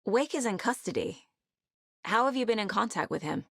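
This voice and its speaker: A woman voice actress playing a determined, guarded female investigator in a video game, speaking in a measured, serious, naturalistic way. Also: mid-range voice, lively intonation, creaky, fairly bright tone, breathy edge, no echo, clean studio sound, exaggerated voice. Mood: businesslike, focused. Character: determined, sharp female FBI investigator, guarded yet driven.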